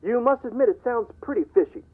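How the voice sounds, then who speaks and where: An actor playing a wary, wryly amused millionaire with a secret, in theatrical old radio serial style. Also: male voice, very high voice, animated, fast talker, a loud crowd. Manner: suspicious, theatrical. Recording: tinny old wire recording playback.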